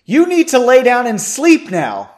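A man voicing a fiery, stubborn, bossy woman in a story, forceful and exclamatory. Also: extremely high pitch, huge pitch swings, brisk, voice pushed up, exaggerated voice. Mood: angry, bossy.

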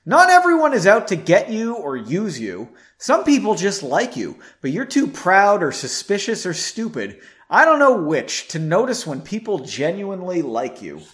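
A man voicing an indignant, animated young woman. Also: sits high, voice pushed up, heavily acted. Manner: indignant, emphatic. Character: hot-tempered, nosy young woman scolding her friend. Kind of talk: amateur storybook reading.

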